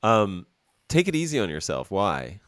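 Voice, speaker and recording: A man's voice: lowish voice, huge pitch swings, normal speed, fairly bright tone, dry recording. A man hosting an interview podcast, chatting casually, teasing his guest and laughing often. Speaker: podcast host.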